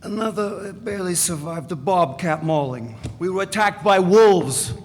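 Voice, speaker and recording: A man's voice: high-pitched, a little slow. A man reading the part of a brash, sardonic former radical recounting wild old exploits in a dramatic reading. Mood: boastful. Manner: boastful, sardonic, storytelling. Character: brash older male ex-radical storyteller. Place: large echoey event space. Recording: long hall reverb, faint room noise.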